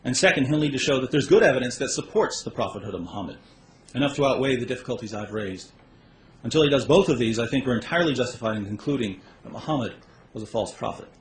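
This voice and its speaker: A man's voice: mid-range voice, lively intonation, fast. Confident man arguing in echoey hall. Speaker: debater. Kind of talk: formal debate closing argument. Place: live debate hall. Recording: echoey hall, faint background noise.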